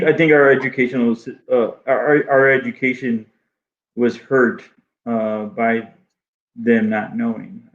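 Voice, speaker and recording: Male guest, native Arabic speaker, gravelly, hesitant delivery, dull lo-fi sound. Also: mid-range voice. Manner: halting, many restarts and fillers. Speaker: guest artist. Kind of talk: casual interview conversation.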